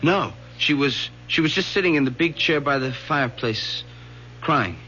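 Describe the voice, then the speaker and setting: An actor playing an anxious, earnest teenage son who speaks hesitantly, in a vintage radio drama. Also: male voice, on the high side, expressive, normal speed, bright, forceful tone, soft background music. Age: teens. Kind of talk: 1970s radio drama acting. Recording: dull vintage radio recording with faint hum.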